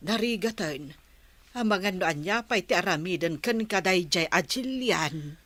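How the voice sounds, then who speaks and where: A woman playing a gentle, devout, soothing older mother in an audio drama. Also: on the low side, expressive, measured pace. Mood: concerned, gentle.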